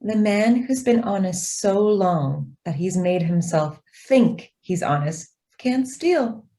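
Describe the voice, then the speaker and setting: Woman acting a slow, mellow, mysterious girl. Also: mid-range voice, animated. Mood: quiet trust. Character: mysterious gentle girl, serene, trusting, quietly persuasive. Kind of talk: stage-style radio drama acting.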